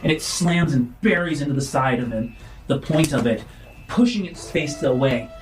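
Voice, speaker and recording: A man working as game master, improvising dramatic tabletop narration in halting phrases. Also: mid-range voice, expressive, soft background music, poor audio.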